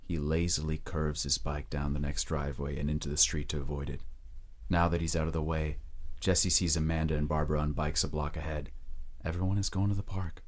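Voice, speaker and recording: A man giving dramatic scripted narration in a husky voice, measured, hushed and grave. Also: a bass voice, level tone.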